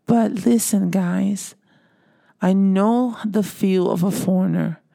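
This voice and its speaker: A woman's voice: medium pitch, slow, vocal fry, mellow. A middle-aged woman from Brazil with a Brazilian Portuguese accent, giving an earnest motivational talk to viewers and repeating phrases for emphasis. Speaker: motivational speaker. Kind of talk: encouraging monologue to viewers.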